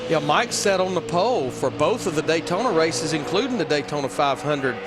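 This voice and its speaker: A man's voice: a little high, animated, brisk, over loud music. Folksy male color commentator. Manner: conversational, folksy. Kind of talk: live race commentary.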